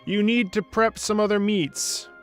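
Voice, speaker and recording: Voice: medium pitch, fairly even intonation, unhurried. A game streamer chatting casually on a livestream, blunt and full of swearing. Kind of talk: casual livestream gameplay chat. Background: video game music.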